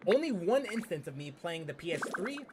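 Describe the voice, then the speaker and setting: A young man streaming games, chatting casually in a rambling, halting way and repeating phrases for emphasis. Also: high-pitched, very animated, noisy background. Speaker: gaming streamer. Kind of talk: casual, rambling gameplay chat. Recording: game music behind.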